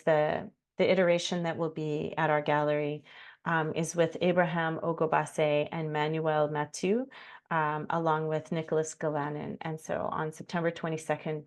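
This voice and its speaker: Woman, low pitch, gravelly, hesitant, many fillers, reflective, video call. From Canada. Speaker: curator and artist. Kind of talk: reflective conversation, self-introduction.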